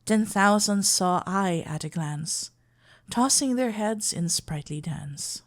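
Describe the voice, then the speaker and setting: Woman acting a shy, slow-spoken lovestruck florist. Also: mid-range voice, natural voice. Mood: soft, reflective. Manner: halting, stammering, awkwardly earnest. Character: shy, flustered, lovestruck florist best friend, female, tender. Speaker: audio roleplay performer. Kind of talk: intimate ASMR roleplay acting.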